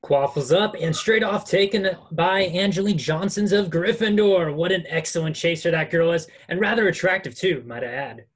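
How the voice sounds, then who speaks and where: A man's voice: fairly high pitch, moderate pace, few pauses, close to an everyday voice. A man playing an enthusiastic, cheeky schoolboy sports commentator in a script read. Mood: cheeky excitement.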